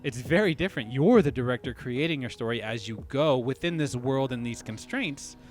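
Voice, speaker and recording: A man's voice: medium pitch, expressive, moderate pace, soft background music. Man musing aloud on a podcast. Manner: thinks aloud, frequent restarts and "you know what I mean". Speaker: podcast co-host. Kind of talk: casual podcast discussion.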